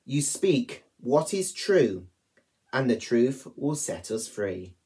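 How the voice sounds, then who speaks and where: A young man reading prayers aloud in measured, deliberate phrases as a worship leader. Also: medium pitch, sing-song, a little mellow. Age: young adult. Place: small attic room at home.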